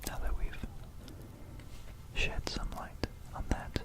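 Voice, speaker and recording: An ASMR host speaking in a breathy, unvoiced whisper, with a gentle, rambling, hesitant bedtime delivery in an informal style. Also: takes it slowly. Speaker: ASMR video creator and host.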